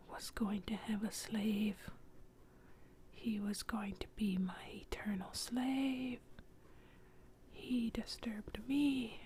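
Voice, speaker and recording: A vile fallen warrior spirit, male, wails in a drawn-out, slightly raised whisper, as a woman storyteller puts him on. The delivery is slow and mellow, with faint hiss behind it. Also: fairly high pitch.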